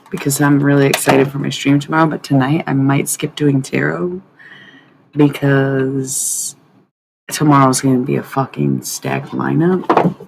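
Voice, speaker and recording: A woman with a raspy voice hosting a live stream from home at night, chatting loosely to viewers and swearing freely. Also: sits low, darkish tone, slight background noise, rough recording. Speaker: livestream host. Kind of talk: casual live-stream chat. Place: at home at night, others asleep nearby.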